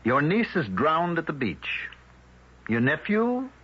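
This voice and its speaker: Casting: polite but threatening village leader, old radio thriller, husky, with lively condescending swings in pitch. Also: male voice, slow pace, heavily acted. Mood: smug menace. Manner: smooth-mannered, condescending, menacing. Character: smug, threatening male small-town leader. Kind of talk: 1970s radio drama acting. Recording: muffled old radio broadcast.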